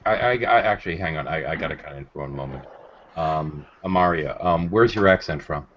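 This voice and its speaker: A man's voice: a bass voice, fairly quick, slight background noise. A man hosting a casual group chat, talking in a rambling storyteller's way. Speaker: host of a group video call. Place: indoors at home on a group video call. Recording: dull lo-fi internet call audio.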